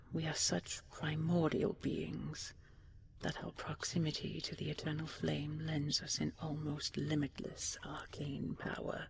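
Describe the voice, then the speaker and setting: A woman playing an ancient dragon queen in an intimate sleep roleplay, tender, regal and wistful, speaking softly and breathily in slow, stately phrases, often half-whispering. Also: low voice, gravelly, slight background noise, heavily acted. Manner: slow, measured, stately, with lingering pauses.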